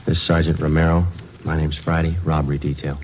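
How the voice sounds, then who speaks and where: An actor playing a terse police sergeant in an old radio drama, speaking in a clipped, matter-of-fact way. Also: male voice, a bass voice, flat delivery, fast talker, a little hiss or hum. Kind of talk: 1950s radio drama acting. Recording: dull old radio broadcast recording.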